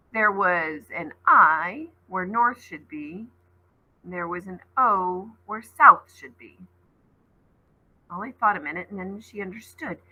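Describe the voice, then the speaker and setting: Woman, teacher, reading a book aloud, breathy husky voice, expressive storytelling. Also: rough recording. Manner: expressive read-aloud, voices rising high on called names.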